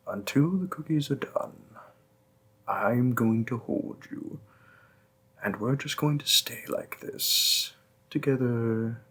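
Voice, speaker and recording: A man playing a gentle, affectionate demon boyfriend in an intimate comfort roleplay, tender and soothing, breathy in places. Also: medium pitch, full character voice.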